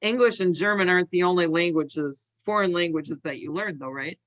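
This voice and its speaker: A woman hosts a casual podcast conversation with a bright, clear voice. She draws her guest out with gentle, encouraging questions. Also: on the low side, a little fast. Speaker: podcast host. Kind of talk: casual interview chat.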